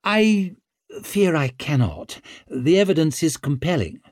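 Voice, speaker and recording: A man voicing a sarcastic, exasperated king in an audiobook, with an incredulous, sharp-tongued manner. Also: on the high side, sing-song, a little slow, no echo, studio quality, voice pushed up. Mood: awkward. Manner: incredulous, sardonic.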